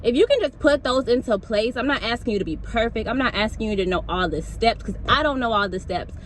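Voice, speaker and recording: A woman's voice: fairly high pitch, animated, quick pace, slight background noise. A woman vlogging a motivational talk on her phone, casual and preachy, full of "like" and "y'all", with features. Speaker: wellness vlogger.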